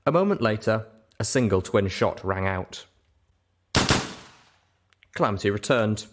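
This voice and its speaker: A man narrates an audiobook in a fairly low voice. He reads scripted first-person story prose, as a storyteller would. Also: animated, measured pace.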